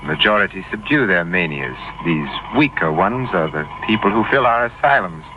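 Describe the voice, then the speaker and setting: An actor playing a polite, pedantic, quietly unsettling prisoner with precise speech in an old radio drama. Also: male voice, deep voice, lively intonation, moderate pace. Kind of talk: 1940s radio acting. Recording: muffled, noisy old radio recording.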